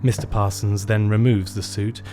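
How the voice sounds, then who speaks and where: A man performs a lonely tuxedo shop owner telling his story in a radio play. The voice is fairly low, with measured, melancholy and theatrical phrasing. Soft music runs underneath. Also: flat delivery, close to an everyday voice. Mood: weary, dreary. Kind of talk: scripted first-person radio play narration. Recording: music bed behind the voice.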